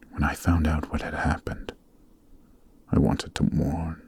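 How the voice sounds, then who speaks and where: A man playing a weary, noble Demon King in a fantasy ASMR roleplay, speaking softly and solemnly with a breathy voice. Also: slight background noise. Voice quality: very deep, soft, breathy, half-whispered. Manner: slow, solemn, earnest, formal phrasing. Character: weary, noble adult male Demon King, sorrowful and gentle. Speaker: voice actor.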